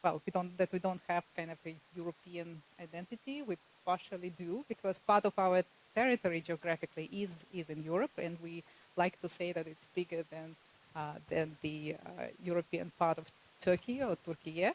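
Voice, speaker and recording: Woman, from Kazakhstan, young scholar, lower pitch, soft-spoken, many fillers. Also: fairly even intonation, normal speed, a little hiss or hum, rough recording. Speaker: panelist scholar. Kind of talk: conference panel remarks. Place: conference hall.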